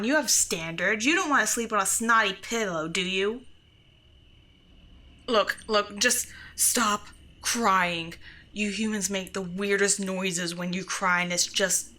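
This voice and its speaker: A woman's voice: medium pitch, sharp tone. A woman playing a grumpy, sarcastic, bossy monster from under the bed in an ASMR roleplay. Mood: flustered, exasperated. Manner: exasperated, bossy, mock-threatening. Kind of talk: scripted ASMR roleplay, speaking to the listener.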